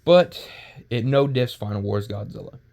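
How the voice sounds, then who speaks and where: A man giving casual, unscripted commentary as a video creator, rambling and halting, often stumbling over words and starting again. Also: medium pitch, darkish tone.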